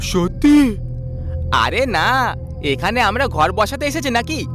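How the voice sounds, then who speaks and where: A man voicing an excitable, carefree young friend in an animated story, in a boisterous, exaggerated manner. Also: high-pitched, slow pace, music in the background, voice pushed up, heavily acted. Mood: boisterous, cheerful.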